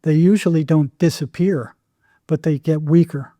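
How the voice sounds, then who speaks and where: A man talks as a podcast guest in a very dark, mellow voice that sits a little higher than average. The sound is close and dry, and he explains in anecdotes, leaning on repeated phrases for emphasis. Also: steady tone, moderate pace, no room sound. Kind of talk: anecdotal interview talk.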